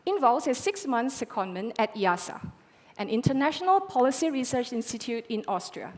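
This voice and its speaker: A woman in her thirties with a slightly high voice delivers a rehearsed pitch on a conference stage. She speaks in a dramatic, measured way, pausing between phrases for effect. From Malaysia. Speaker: postdoctoral climate scientist.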